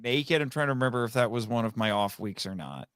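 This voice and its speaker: A man's voice: medium pitch, quick pace, fairly bright tone, clear, resonant tone, no echo, clean studio sound. A man talking casually as a guest on a fan podcast, telling stories and acting out quoted remarks.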